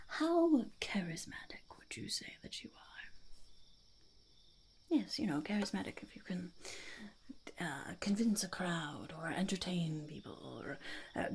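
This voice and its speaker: A woman voicing a quirky, scheming goblin interviewer in a whispered ASMR roleplay, halting and muttering, often repeating phrases. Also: on the low side, a little hiss or hum, full character voice. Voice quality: breathy whisper with heavy creak. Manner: halting, muttering, repeats phrases, speaks of self in third person.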